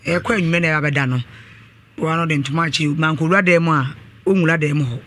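A woman's voice: low-pitched, brisk, fairly bright tone. A middle-aged woman giving a personal account as a guest in a radio studio interview. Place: small indoor radio studio. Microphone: desk microphone, close.

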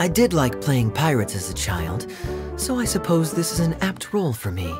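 A man voicing a suave, playful young knight in a video game, wry and urbane with a smooth voice. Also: a little high, big pitch swings, moderate pace, over music. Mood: amused.

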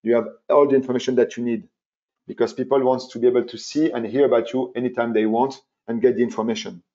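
A man's voice: mid-range voice, little pitch movement, quick pace, mellow. A man, presenting a marketing webinar in an emphatic, repetitive way with many fillers and restarts. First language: French. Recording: dull, muffled webinar audio.

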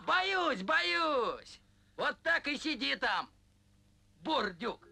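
An actor playing a bold, teasing young warrior in a folk-tale cartoon, brash and exclamatory. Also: male voice, very high voice, very animated, very slow, gravelly, heavy background noise, full character voice. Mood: mock fear. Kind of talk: animated film dialogue. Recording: loud music over old film soundtrack.

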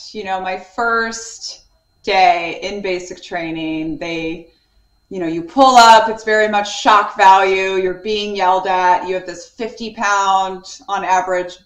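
A woman's voice: mid-range voice, fairly bright tone. Earnest woman author on a roomy video call. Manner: earnest, reflective, gestures while talking. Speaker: author and guest. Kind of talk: conversational interview. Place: home study with bookshelves.

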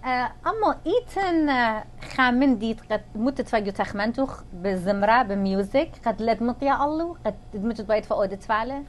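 Woman host with a fairly high voice. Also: expressive, brisk, slight background noise. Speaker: interview host. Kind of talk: hosted interview. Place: small indoor lounge with leather walls. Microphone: lapel mic.

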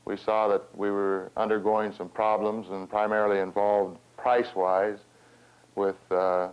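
An American man in his thirties giving a formal interview in a measured, halting, roundabout way. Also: fairly low pitch, flat delivery, smooth. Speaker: farm organization representative and cattle rancher. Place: small wood-panelled room, seated at a table. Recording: dull 16mm film soundtrack.

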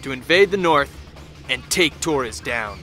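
A man's voice: medium pitch, lively intonation, unhurried, bright, forceful tone, faint music behind. A man playing a cynical American soldier in a video game, narrating the war in a wry, colloquial style with an American accent. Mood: wry, resolute. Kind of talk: dramatic scripted first-person narration.

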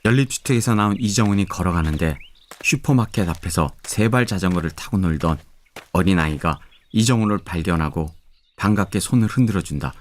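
Deep-voiced male drama narrator. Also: a little fast. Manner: steady, descriptive storytelling. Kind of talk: scripted narration. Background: background music.

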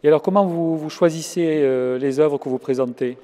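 A middle-aged man interviews someone with playful, leading questions, his voice dark and warm but pitched a little high. He talks through a face mask into a handheld mic. The long reverb of a stone chapel hangs behind him. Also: moderate pace. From France. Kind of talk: casual interview. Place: large former chapel, indoors.

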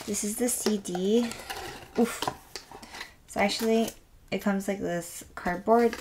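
A woman with a raspy voice today, chatting softly and gushing with lots of fillers as she unboxes an album at a table. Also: on the high side, slight background noise. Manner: soft, rambling, gushing with many fillers. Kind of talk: casual unboxing chat. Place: indoors at a wooden table.

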